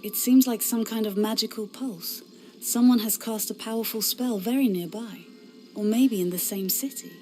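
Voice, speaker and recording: A woman's voice: fairly high pitch, normal speed, darkish tone, soft background music, natural voice. A woman playing an earnest, indignant young female warrior in a fantasy game cutscene. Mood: puzzled. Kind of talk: scripted video game cutscene acting.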